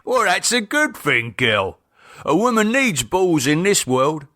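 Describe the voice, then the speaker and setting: A man performs a cheeky middle-aged British landlord and dad in an audiobook. He banters bluntly and loudly, pitched up and swinging widely, with a very dry, close sound. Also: big pitch swings, a little slow, no echo, full character voice. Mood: cheeky, amused. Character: cheeky middle-aged British pub landlord, teasing, blustering husband and father.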